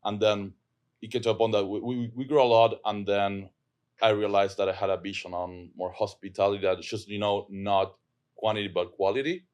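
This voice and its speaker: A man's voice: fairly low pitch, steady tone, brisk, darkish tone. A man with an Argentine accent, a property manager talking as a podcast guest, earnest and often repeating words as he thinks. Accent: Argentine Spanish. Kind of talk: casual podcast chat.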